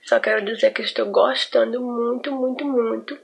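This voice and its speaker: A woman in her twenties giving a selfie testimonial, trailing off softly at phrase ends. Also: on the high side, moderate pace, vocal fry. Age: 20s. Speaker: course student giving a testimonial.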